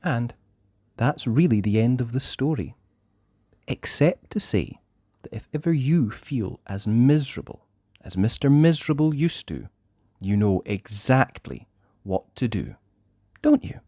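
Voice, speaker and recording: A storyteller reading a children's book aloud in a theatrical, deliberate way with drawn-out emphasis. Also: medium pitch, lively intonation, dark tone, dry recording, studio quality. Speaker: storybook narrator. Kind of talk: expressive children's read-aloud narration.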